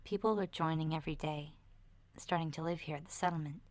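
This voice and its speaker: A woman voicing a chatty, candid young girl surviving a zombie apocalypse in a soft, breathy, half-whispered ASMR roleplay, talking in a hesitant, rambling way. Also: sits low, steady tone, quick pace, lightly acted. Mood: gossipy. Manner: hesitant, rambling, youthful casual phrasing.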